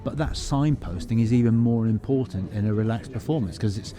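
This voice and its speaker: Man, fairly low voice, conversational interviewer, music clearly audible behind. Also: fairly quick.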